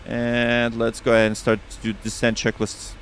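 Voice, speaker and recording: Russian-accented male streamer, relaxed and chatty, mid-pitched voice. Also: moderate pace, a little hiss or hum. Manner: relaxed, chatty, reads back radio calls. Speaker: flight sim streamer. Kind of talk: casual live-stream chat with radio calls.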